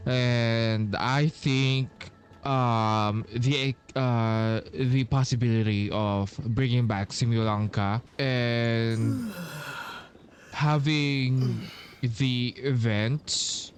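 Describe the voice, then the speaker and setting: Slow, halting male gamer. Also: mid-range voice, fairly even intonation, slight background noise. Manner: hesitant, halting, musing. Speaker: video game player commenting on a playthrough. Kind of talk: casual gameplay commentary. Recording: commentary over game music.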